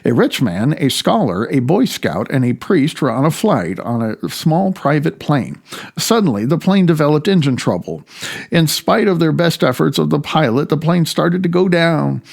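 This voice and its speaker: A middle-aged man reading his own nonfiction audiobook in a deliberate, measured manner with drawn-out emphasis. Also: on the high side, big pitch swings, vocal fry, a little mellow.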